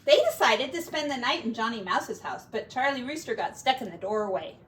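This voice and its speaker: A woman teacher reading a picture book to young children expressively, with gentle, simple asides, recorded on a device set back from her. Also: a little high, big pitch swings, brisk. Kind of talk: picture-book read-aloud with chatty asides. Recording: distant mic with faint background noise.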